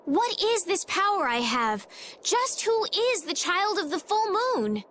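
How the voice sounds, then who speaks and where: An actress playing a gentle, determined young girl in a fantasy game, speaking in a formal, heartfelt, pleading way. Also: extremely high pitch, sing-song, measured pace, a little hiss or hum, exaggerated voice. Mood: earnest, afraid. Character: gentle, earnest teenage girl healer, politely determined. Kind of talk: dubbed fantasy game voice acting.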